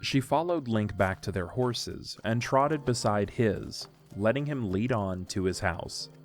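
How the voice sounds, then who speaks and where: Male narrator for fantasy audio drama: lowish voice, scripted storytelling, soft music bed underneath. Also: measured pace.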